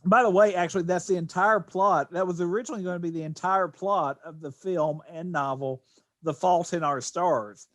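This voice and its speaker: A man's voice: sits high, moderate pace, dry recording, clean studio sound. An American man hosting a podcast in casual chat, rambling and often doubling back on his phrases. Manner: rambling, repeats and restarts phrases. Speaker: podcast host.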